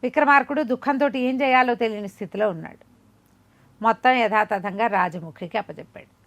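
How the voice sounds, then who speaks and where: A woman telling a moral folk tale as an oral storyteller, conversational and expressive, repeating phrases for emphasis and acting out the dialogue. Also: a little high, brisk, creaky.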